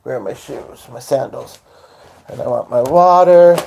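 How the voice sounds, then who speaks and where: A man's voice: mid-range voice, measured pace, gravelly, a little mellow, highs cut off, a little hiss or hum. A man live-streaming from home at his piano, chatting loosely to his viewers as he sets up to play. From Hungarian. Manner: rambling, talks to viewers while setting up. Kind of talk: casual live-stream chat. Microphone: phone.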